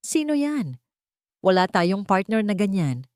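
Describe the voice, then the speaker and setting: A woman voicing an angry, arrogant businessman in an audiobook drama, in forceful, demanding outbursts. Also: mid-range voice, very animated, measured pace, no echo, clean studio sound. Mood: demanding, confused.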